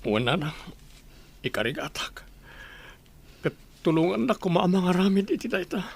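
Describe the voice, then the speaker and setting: A man's voice: sits high, sing-song, moderate pace, gravelly, slightly rough, dry recording, heavily acted. A man playing a heartbroken, abandoned husband and father in an Ilocano radio drama, lamenting his hurt. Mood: anguished. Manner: anguished, lamenting.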